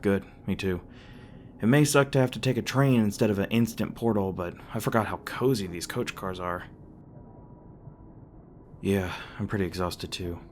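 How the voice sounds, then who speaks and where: A man's voice: lowish voice, normal speed, long pauses, a little mellow, slight background noise, lightly acted. A man playing a young demon boyfriend in an ASMR roleplay, speaking casually with teasing jokes, sweetness and hesitant asides. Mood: cozy, affectionate.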